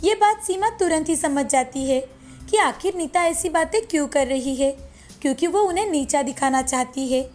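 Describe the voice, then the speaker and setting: A woman's voice: high-pitched, lively intonation, normal speed, darkish tone. A woman reading a scripted moral story as its narrator, in storybook style.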